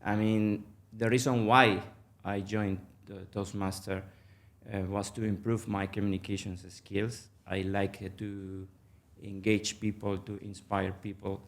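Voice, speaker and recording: Low-voiced venezuelan guy, spanish accent, lots of uh and restarts, chatty interview. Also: level tone. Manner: halting, many fillers and restarts. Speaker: guest, mergers and acquisitions manager. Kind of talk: casual interview.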